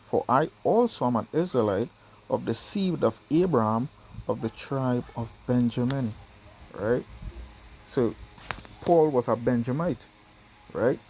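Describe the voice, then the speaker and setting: A man's voice: mid-range voice, lively intonation, measured pace, long pauses, a little mellow, slight background noise, poor audio. A man from Trinidad with a Trinidadian accent, reading Bible verses aloud and explaining them in a casual teaching style that keeps checking in with "right?" and "you understand?".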